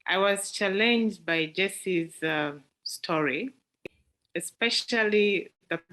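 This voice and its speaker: A woman's voice: mid-range voice, takes it slowly, fairly bright tone. A woman with a Ugandan accent hosting an online club meeting, hesitant and full of fillers. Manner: hesitant, fillers and repeated words. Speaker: meeting host. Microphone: video call.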